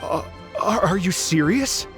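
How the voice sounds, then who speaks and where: A man's voice: high voice, lively intonation, a little slow, music in the background. An actor playing an earnest, nervous and polite male musician in a video game, speaking hesitantly. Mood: stunned.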